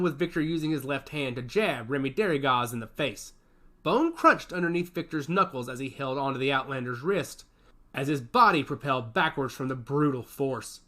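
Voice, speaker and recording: A man's voice: fairly high pitch, bright, forceful tone. A man reading a science fiction action story aloud as its narrator, with a dramatic storytelling delivery.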